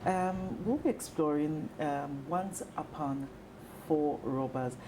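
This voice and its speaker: Low-voiced, unhurried Nigerian woman hosting. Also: noisy background. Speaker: television talk show host. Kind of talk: conversational studio interview.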